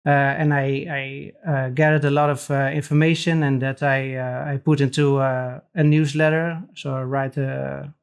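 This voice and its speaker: Man, Dutch accent, native Dutch speaker, monotone delivery, hesitant pauses. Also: medium pitch, creaky, clean tone. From Netherlands. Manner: hesitant, many pauses and restarts. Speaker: podcast guest. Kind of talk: casual interview chat.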